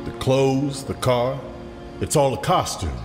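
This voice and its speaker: Guy acting sincere city official in an audio drama, lively pitch, lots of reverb. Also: slow pace. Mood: earnest. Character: earnest male city official, proud of humble roots. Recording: reverberant sound with faint music.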